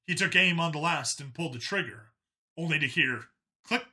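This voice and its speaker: Bright, forceful male story narrator. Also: fairly high pitch, normal speed. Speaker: audiobook narrator. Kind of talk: dramatic scripted narration.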